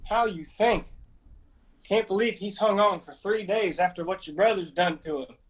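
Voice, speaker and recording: A man plays a distraught young Appalachian nephew in a radio drama. His high voice pleads and falters with worry. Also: lightly acted, low-quality recording. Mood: weary, bitter. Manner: pleading, halting.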